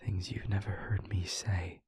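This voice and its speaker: A man playing an anguished demon in an intimate audio roleplay, speaking haltingly and hushed, pleading with someone he loves. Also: sits low, little pitch movement, no echo, full character voice. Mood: grave, hesitant. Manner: halting, hushed, breathy near-whisper, pleading. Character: anguished male demon confessing to a loved one.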